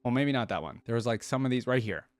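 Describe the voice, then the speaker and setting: A man's voice: mid-range voice, lively intonation, fast talker, dry recording. A man giving casual reaction commentary as a video host, chatty and rambling, often tagging questions onto his remarks.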